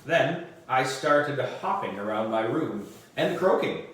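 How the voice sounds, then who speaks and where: Echoey, slow-paced man reading a storybook. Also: mid-range voice. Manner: animated, dramatic emphasis. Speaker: audiobook reader for a book club. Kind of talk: expressive children's storybook narration. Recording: roomy echo, distant microphone.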